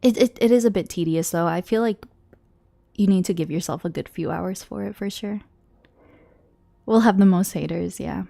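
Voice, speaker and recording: A woman's voice: mid-range voice, normal speed, creaky, long pauses. A young woman streaming a game, chatting casually with her viewers, sounding congested and a bit nasal from a cold, rambling and restarting her sentences. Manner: chatty, rambling with repeated restarts and quiet asides.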